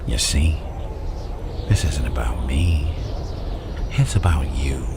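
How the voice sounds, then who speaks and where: Very deep, gravelly whispering villain. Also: male voice, slow pace, very noisy, heavily acted. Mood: coaxing, sinister. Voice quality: gravelly, breathy, often whispering. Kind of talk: ASMR villain roleplay monologue.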